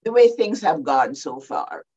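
An older Jamaican woman speaking as a panelist over a video call from her home study, deliberate and explanatory. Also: low voice, sing-song, mellow, breathy edge. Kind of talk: measured panel talk. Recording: dull lo-fi video call audio.

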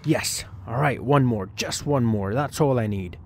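A man's voice: medium pitch, measured pace. A man hosting a gaming video, narrating a sports career story in the first person as a hopeful young cricketer, talking himself through it. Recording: clear background noise and ambience.

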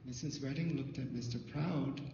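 A middle-aged man from Thailand whose first language is Thai, telling a personal story at a symposium. He talks through a handheld mic in a dim hall, haltingly, with many fillers and restarts. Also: mid-range voice, dark tone, a little hiss or hum. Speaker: artist presenter. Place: dim hall with projector screen. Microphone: handheld microphone, filmed from afar. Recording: distant, echoey, dull hall audio.

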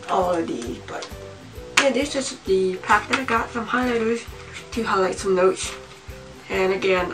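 A young woman's voice comes from a little way off the microphone, with music clearly playing behind it. She has a slight cold and talks in a casual show-and-tell way, at a middling pitch. She often halts and thinks aloud as she goes. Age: young adult, about 18. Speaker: vlogger. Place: small home room, indoors. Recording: distant mic, music behind.

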